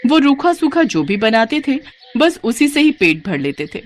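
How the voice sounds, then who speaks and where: A woman's voice: high voice, very animated, normal speed, noisy background. A woman narrating a scripted children's story as a storyteller.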